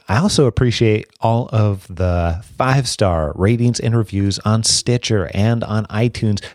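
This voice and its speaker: A man's voice: lowish voice, a little mellow, no echo, clean studio sound. A man hosting a podcast, earnestly thanking listeners in a casual, rambling way with many restarts. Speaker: podcast host.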